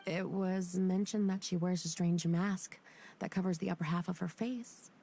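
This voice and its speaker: Wry, low-voiced young officer. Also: female voice, steady tone. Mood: respectful. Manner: brisk, respectful, wry. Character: loyal, joking young female military officer. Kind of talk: game cutscene voice acting. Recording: game audio with loud music.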